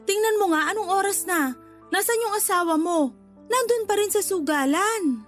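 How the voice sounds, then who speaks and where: A woman's voice: extremely high pitch, animated, normal speed, fairly bright tone, faint music behind. A woman acting in a Tagalog radio drama as a married homeowner who nags and lectures her older brother. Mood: scolding, indignant. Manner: nagging, preachy, emphatic.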